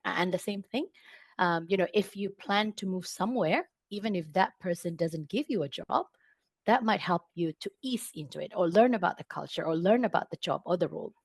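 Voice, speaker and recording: A woman's voice: on the low side, no echo. A woman in her thirties from Indonesia answering on a video-call panel, talking conversationally, repeating words and often adding "right?".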